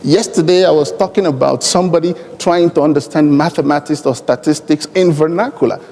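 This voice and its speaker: A man with a Nigerian accent and Igbo as his native language, speaking deliberately and emphatically in elaborate formal phrases on a conference panel. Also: on the high side, darkish tone. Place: large conference hall. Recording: long hall reverb from conference PA.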